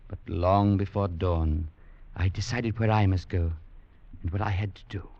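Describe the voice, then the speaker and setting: An actor playing an earnest young gentleman, narrating a 1940s radio drama in a measured, grave way. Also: deep voice. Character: earnest, gallant young English gentleman turned legionnaire, narrating. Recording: muffled 1940s radio recording, music behind.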